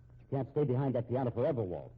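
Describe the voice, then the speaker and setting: An actor playing an anxious, respectable American husband in a 1950s radio drama, speaking in a measured, pointed way. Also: male voice, medium pitch, very animated, slightly rough. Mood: bitter, pointed. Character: respectable, anxious, shrewd husband held hostage at home. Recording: muffled, tinny old radio recording.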